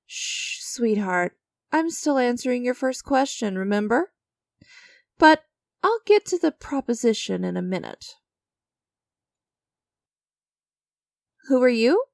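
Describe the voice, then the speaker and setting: An enigmatic female stranger, acted by a woman, speaks in a fairly high voice, close and dry. She is creepy and knowing, teasing her listener with condescending sweetness. Her delivery is theatrical, with deliberate pauses, in the manner of a dramatic ASMR audio roleplay. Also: sing-song, gravelly, a little mellow, dry recording, full character voice. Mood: patronizing, teasing.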